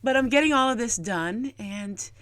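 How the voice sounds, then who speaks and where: A woman's voice: on the high side, animated, moderate pace, a bit bright, no room sound, clean studio sound. A middle-aged woman narrating a homemaking vlog, explaining chores and cooking in a plain-spoken, folksy way. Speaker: homesteading vlogger and host.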